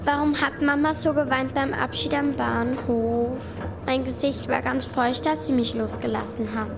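A woman's voice: sits high, fairly even intonation, unhurried, crowd noise. A woman playing an anxious, trusting young girl in a radio drama, with plaintive, childlike questioning. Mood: puzzled, anxious. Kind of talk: German radio drama acting. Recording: clear vehicle noise behind.

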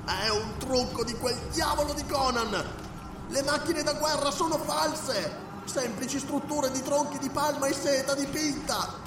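A man's voice: extremely high pitch, voice pushed up. A man voicing a wounded, panicked male priest crying out through a crystal orb, in a frantic wailing manner. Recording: echoey and distant, loud music behind.

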